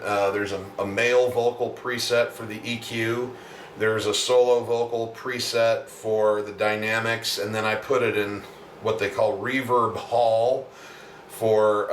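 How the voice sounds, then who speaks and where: Deep voiced musician guy rambling about his process, bit far from the mic, echoey room. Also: fairly bright tone. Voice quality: deep natural voice. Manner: rambling, explanatory, with many asides. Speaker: singer-songwriter and self-producing musician. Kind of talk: casual video diary explanation. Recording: roomy, distant mic, faint background noise.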